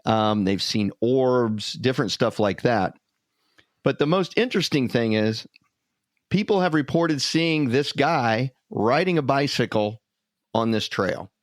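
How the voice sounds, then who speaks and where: A man's voice: medium pitch, unhurried, no echo. Casual man podcasting, dry close sound. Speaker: podcast co-host. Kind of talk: casual chat and storytelling.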